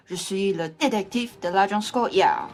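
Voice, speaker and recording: A woman's voice: medium pitch, slow, darkish tone, a little hiss or hum. A young woman playing a matter-of-fact male private detective in a French radio farce. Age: young adult. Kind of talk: French stage farce performed as student radio play.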